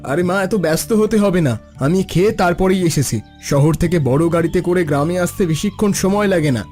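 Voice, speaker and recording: A man plays a young cartoon son home from the city, breezy and full of himself. His voice sits quite high for a man. Music plays behind him. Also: moderate pace, darkish tone, lightly acted. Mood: breezy, dismissive. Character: boastful grown son back from the city. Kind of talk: Bengali cartoon voice acting.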